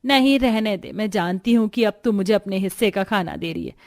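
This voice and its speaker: A woman's voice: medium pitch, expressive, fairly quick, a little mellow, no echo, natural voice. Woman acting weary, poor village potter mother. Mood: weary, resigned. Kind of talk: Hindi cartoon story voice acting.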